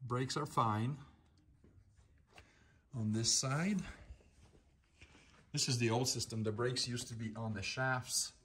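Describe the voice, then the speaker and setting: A middle-pitched man in his fifties hosts a do-it-yourself shop vlog. He talks casually and loosely, restarting phrases and filling gaps with "uh". He is recorded on a phone camera held at arm's length in an indoor garage workshop. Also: takes it slowly, long pauses, a bit bright, slight background noise. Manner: chatty, rambling, with many restarts and "uh" fillers. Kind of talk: casual how-to talk.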